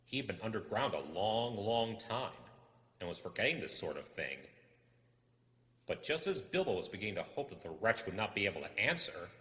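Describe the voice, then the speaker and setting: A man reading a book aloud as expressive scripted narration, in the manner of a storyteller. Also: lowish voice, moderate pace. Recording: echoing hall reverb.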